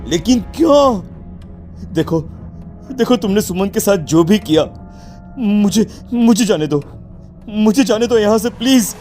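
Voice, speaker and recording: A man's voice: high-pitched, animated, measured pace, pauses often, darkish tone, soft background music. A man playing an earnest, questioning husband in a horror cartoon story. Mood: frightened, pleading.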